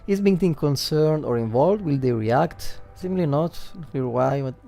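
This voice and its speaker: A male game streamer with a mellow, gravelly voice talks through his analysis live. He thinks aloud in a halting way, circling back over words, with faint music playing behind him. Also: on the high side, expressive. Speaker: livestreamer.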